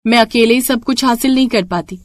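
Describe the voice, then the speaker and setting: A woman's voice: on the high side, brisk, dry recording, natural voice. A woman voicing a devoted, self-reliant young daughter in a cartoon story, earnest and respectful. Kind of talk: Hindi moral cartoon story acting.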